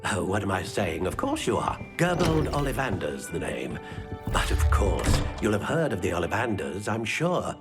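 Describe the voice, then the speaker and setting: An actor playing a fussy, kindly, absent-minded male wandmaker in a video game, muttering and halting as he goes. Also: on the low side. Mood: welcoming. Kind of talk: video game character acting. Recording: music behind the voice.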